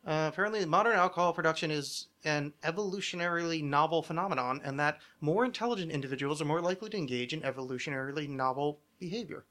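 Male podcast host, forceful bright voice, sardonic, rambling, profane, casual comedic delivery. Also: fairly high pitch, quick pace, few pauses.

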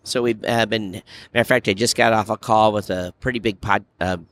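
A man hosting a podcast in a casual, chatty style, with halting phrasing. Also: fairly low pitch, steady tone. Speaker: podcast host. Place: booth in a very large trade show hall. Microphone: podcast microphone.

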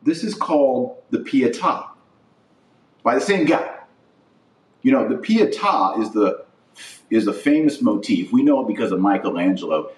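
A man's voice: medium pitch, lively intonation, normal speed, darkish tone, far from the mic. A man giving opinionated religious commentary as a podcast host, indignant and preachy, leaning hard on stressed words. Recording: roomy echo, voice off the mic.

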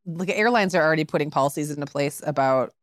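A relaxed, optimistic woman co-hosting a casual podcast chat, sprinkling her talk with "like." Her voice sits fairly low, recorded close and dry, and she has a lingering cough left over from a flu. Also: fast, dry recording. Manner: easygoing, optimistic, says "like" often. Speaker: podcast co-host.

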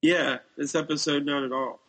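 A man's voice: on the high side, steady tone, normal speed, bright, forceful tone. A man co-hosting a casual podcast, giving opinions in a blunt, dismissive way. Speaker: podcast co-host. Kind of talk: casual opinionated review chat.

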